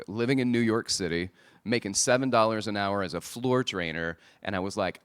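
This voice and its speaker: Man, very low voice, live podcast host, conference hall, handheld mic. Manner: energetic, chatty presenter style with quick asides. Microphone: handheld microphone.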